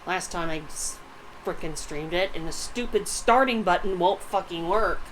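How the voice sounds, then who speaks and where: A woman's voice: on the low side, moderate pace, slight background noise, poor audio. A woman livestreaming a video game with casual, profane commentary, often muttering to herself.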